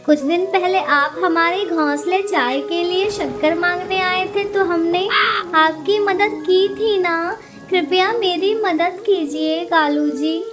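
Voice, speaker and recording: A woman plays a small, young cartoon bird girl with a very high voice. She pleads and gets excited in a slow singsong. Loud music plays behind her. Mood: anxious, pleading. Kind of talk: Hindi children's cartoon voice acting.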